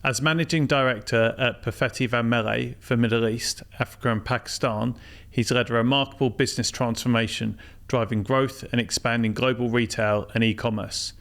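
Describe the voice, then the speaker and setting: A man's voice: mid-range voice, fairly even intonation, a little fast, fairly bright tone. A middle-aged man hosting a business podcast, reading a polished intro and then asking interview questions. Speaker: podcast host, CEO.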